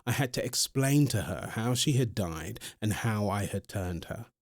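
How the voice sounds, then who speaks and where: A man playing a centuries-old vampire boyfriend in an ASMR roleplay, exasperated but protective, speaking haltingly and pleadingly to the listener. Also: lowish voice, expressive, no echo, studio quality. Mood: reassuring, earnest. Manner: hesitant, halting, pleading, addresses listener directly.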